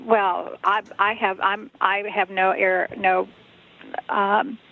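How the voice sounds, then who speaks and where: A woman's voice: medium pitch, flat delivery, slow pace, creaky. A woman who works in church faith formation, answering a phone call in a hesitant, polite and cautious way. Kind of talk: phone conversation. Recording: tinny phone line.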